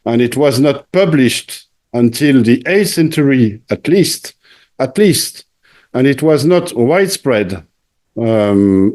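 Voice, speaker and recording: Slow, mellow-voiced male interviewer. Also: mid-range voice. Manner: hesitant, many fillers and restarts. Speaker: interview host. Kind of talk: interview conversation.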